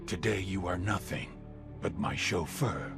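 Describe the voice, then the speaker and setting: An actor playing a calm, smug drug lord in a video game, with a deep gravelly voice and mocking, unhurried politeness. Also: male voice, over music. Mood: gloating. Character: huge menacing male drug lord, smug, calm, courtly. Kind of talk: cinematic video game acting.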